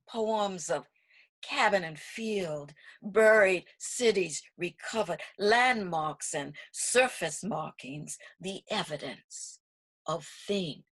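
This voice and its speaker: A woman reciting spoken word poetry with deliberate, dramatic phrasing and long pauses between short lines. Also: medium pitch, breathy edge.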